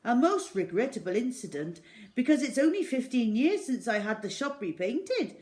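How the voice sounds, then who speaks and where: A woman's voice: big pitch swings, moderate pace. A woman voicing a kindly, jittery middle-aged male newsagent who gets frantic and pleading. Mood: panicked. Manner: frantic, pleading, high and loud.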